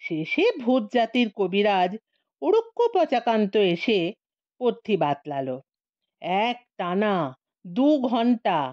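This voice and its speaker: A woman's voice: mid-range voice, dry recording, muffled recording. A woman narrating a scripted comic story in a theatrical, playful way, stretching words for emphasis. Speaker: audiobook narrator.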